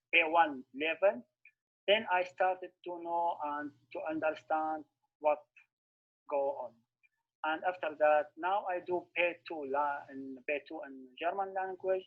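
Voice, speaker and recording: A man speaking English as a second language, telling his story in a webinar interview, halting and thoughtful with frequent restarts. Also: a little high. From Syria. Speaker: refugee guest. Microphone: video call. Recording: tinny video-call audio.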